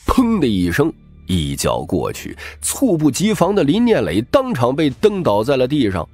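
A man's voice: medium pitch, wildly swooping pitch, normal speed, a little mellow, husky, faint music behind. A man narrating scripted fiction as a dramatic audiobook reader.

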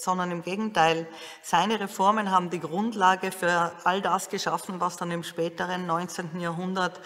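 A woman's voice: lowish voice, fairly bright tone. A woman giving analytical commentary as an expert in a documentary interview. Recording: long reverberant hall, faint music.

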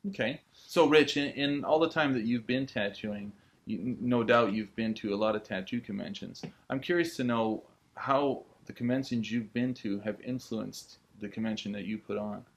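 A man's voice: fairly low pitch, moderate pace. A man, asking questions conversationally as an interviewer. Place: small indoor tattoo studio room.

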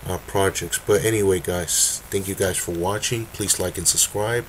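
Young male creator, low voice, hesitant and full of restarts, desk webcam in a dark room. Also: steady tone, a little hiss or hum, poor audio. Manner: halting, many fillers and restarts. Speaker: video creator. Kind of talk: casual webcam announcement. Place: dim room indoors.

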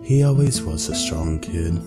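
A grave, formal skeleton dad from a cartoon acted by a man, low and unhurried. His measured lines rise and fall expressively, with loud music behind. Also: lively intonation. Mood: measured, wistful. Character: composed, formal skeleton father, grave and wry. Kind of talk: comic dub character acting.

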